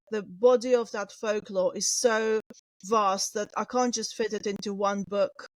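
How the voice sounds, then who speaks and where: A woman's voice: medium pitch, no echo, low-quality recording. A woman whose first language is Russian, a guest manga artist talking casually and hesitantly, with many fillers and restarts. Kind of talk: casual interview chat.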